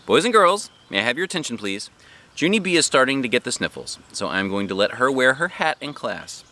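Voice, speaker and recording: A man's voice: mid-range voice, very animated, brisk, pushed and bright, pitched above the performer's own voice. Man playing a firm, weary woman teacher.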